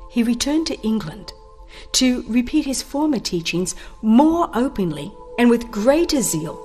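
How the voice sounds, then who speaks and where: A woman reading scripted dramatic audiobook narration in a formal, solemn and deliberate storytelling manner. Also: on the high side, sing-song, dark tone. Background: background music.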